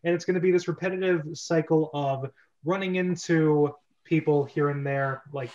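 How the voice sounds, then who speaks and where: A man's voice: a little high, steady tone, normal speed, a little mellow. A man hosting a casual livestreamed group chat about scripts, talking in an informal, rambling way. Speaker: host of an online script reading club. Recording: dull, lo-fi online stream audio.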